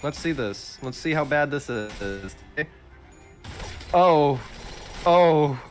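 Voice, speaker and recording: A man's voice: on the high side, animated, very slow, soft background music. Young man bantering over a game. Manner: exclamatory, bantering. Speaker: gamer playing with a friend, reading story text aloud. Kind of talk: casual game commentary.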